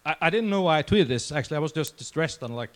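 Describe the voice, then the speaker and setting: A man's voice: on the high side, animated, fast. A man of about forty from Norway, with a Norwegian accent, giving an informal, conversational conference talk to a crowd. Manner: informal, conversational, self-deprecating. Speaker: conference speaker. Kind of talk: casual conference presentation. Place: conference session room before a crowd.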